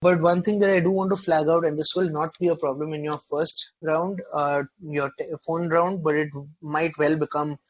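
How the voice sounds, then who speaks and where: Articulate higher-pitched male interview coach. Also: steady tone, moderate pace, few pauses, low-quality recording. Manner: articulate, explanatory, constructive. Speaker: interview coach giving feedback. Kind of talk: conversational mock interview feedback.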